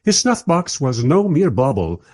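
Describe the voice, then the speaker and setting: A man playing a wry, politely exasperated film director who narrates a radio play in conversational asides with a husky voice. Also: a little high, sing-song, unhurried, no room sound, clean studio sound. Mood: dry, amused.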